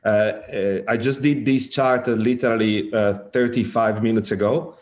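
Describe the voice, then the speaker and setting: A middle-aged man from Italy with an Italian accent, giving an online lecture with slides, halting and full of fillers and rephrasings. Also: fairly low pitch, fairly even intonation, a little mellow, dull audio. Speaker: lecturer, director of an ageing innovation centre.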